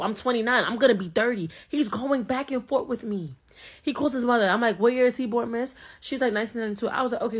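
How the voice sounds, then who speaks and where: Chatty New woman telling a story. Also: on the high side. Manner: animated, slangy, acts out both sides of dialogue. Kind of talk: casual storytelling.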